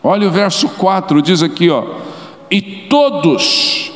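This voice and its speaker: A middle-aged man with a Brazilian Portuguese accent preaching a sermon and telling a story, moving between quiet asides and sudden loud exclamations. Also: high voice, sing-song, slow pace. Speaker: pastor. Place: large church auditorium. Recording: live church sound with long reverb and faint music.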